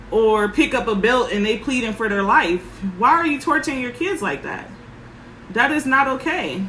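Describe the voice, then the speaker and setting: A woman parenting coach with a soft voice, giving frank advice in short, emphatic sentences. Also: medium pitch, roomy sound, slight background noise, poor audio. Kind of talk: casual advice talk.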